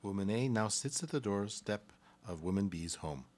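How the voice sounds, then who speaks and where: A man with a fairly low voice serves as narrator of a radio play. He reads scripted stage directions between scenes. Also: lively intonation, moderate pace, a little mellow.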